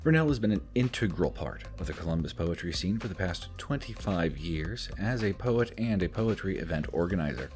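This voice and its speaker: A man reading a scripted guest introduction in a slow, measured way. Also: deep voice, lively intonation, darkish tone. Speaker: podcast host. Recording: clear music bed behind.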